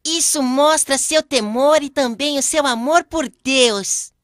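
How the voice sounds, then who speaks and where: High, eager young listener. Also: female voice, takes it slowly, no room sound, clean studio sound. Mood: admiring. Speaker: listener who prompts the storyteller. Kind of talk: scripted cartoon dialogue.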